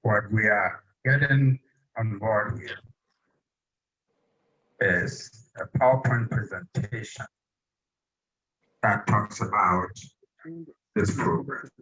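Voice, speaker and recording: A man from Ghana with a Ghanaian accent, giving a measured public presentation as a project chairman. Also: on the low side, bright, forceful tone, dull audio.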